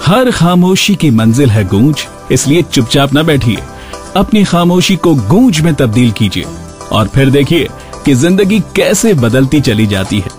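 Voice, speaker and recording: A man's voice: fairly high pitch, very animated, a little slow, darkish tone. A man with an Indian accent presenting a scripted radio show as its host. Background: background music.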